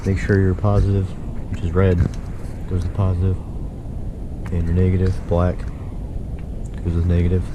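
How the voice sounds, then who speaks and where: A man casually walking through a do-it-yourself wiring job, relaxed and rambling with plenty of fillers. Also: deep voice, normal speed, pauses often, background noise. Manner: laid-back, rambling, filler-heavy explaining. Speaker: DIY video host. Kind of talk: casual how-to walkthrough. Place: inside a pickup truck and around it, at night.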